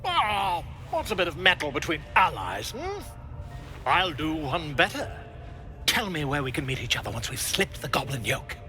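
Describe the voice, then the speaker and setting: A theatrical, self-important male bard for a fantasy video game. His delivery is very bright and forceful, sitting high and swinging widely in pitch. He is grandiloquent and effusive, savouring his florid phrases. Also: big pitch swings, moderate pace, faint music behind, heavily acted. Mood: coaxing, grandiose. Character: flamboyant, theatrical, pompous male bard and self-styled scholar.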